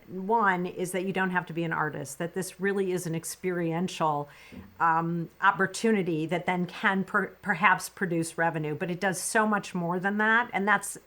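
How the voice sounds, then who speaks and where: A woman's voice: lowish voice, fairly bright tone. A middle-aged woman hosting a podcast interview, chatty and affirming, with frequent likes and uhs. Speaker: podcast host.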